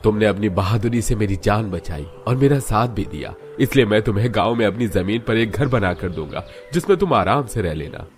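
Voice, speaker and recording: A man's voice: on the low side, lively intonation, a little fast, hardly any pauses, lightly acted. A man voicing earnest village men in a cartoon moral story, speaking emphatically. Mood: grateful, generous. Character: grateful village headman and humble poor young husband.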